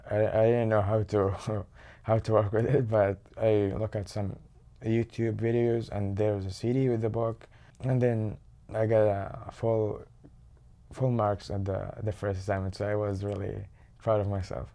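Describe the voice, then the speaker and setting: A man's voice: fairly low pitch, flat delivery, a little slow, dark tone. A man from Saudi Arabia, in his first time at college, speaking modestly. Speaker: college student.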